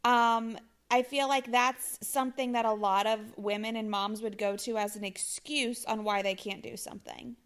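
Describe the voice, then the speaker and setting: Woman, podcast host, enthusiastic, promotional, bright, high-pitched. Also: steady tone, moderate pace, clean tone. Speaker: podcast host and media coach. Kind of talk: upbeat podcast interview.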